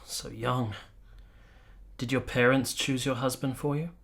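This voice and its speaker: A male actor plays a lovesick young captain in a radio play, brooding and earnest. His voice is bright and forward, sometimes hesitant, as he narrates and speaks his heart. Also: mid-range voice, steady tone, a little slow, gravelly. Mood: tender. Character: lovelorn, brooding young Italian army captain, earnest and self-pitying. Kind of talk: radio drama acting with first-person narration.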